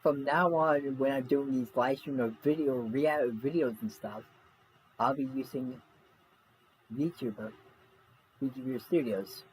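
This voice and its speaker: Casual male reaction streamer, mid-pitched, halting and repetitive, noisy recording. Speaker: livestream reaction host. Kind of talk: casual livestream chat. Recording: noisy home livestream audio.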